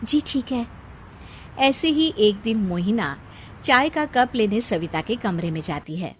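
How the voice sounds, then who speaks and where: A woman's voice: mid-range voice, animated, unhurried, a little hiss or hum. A woman narrating a scripted moral story as its storyteller.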